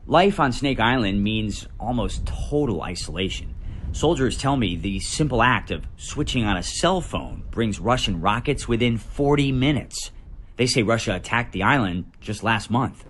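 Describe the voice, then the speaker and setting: An American man, a news correspondent, reading a scripted report voice-over in a dramatic, measured way with deliberate pauses. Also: mid-range voice, lively intonation, fairly bright tone, slight background noise.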